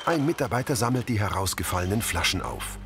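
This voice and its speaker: A man's voice: on the low side, expressive, quick pace, very noisy. A man reading scripted audio description narration in the present tense.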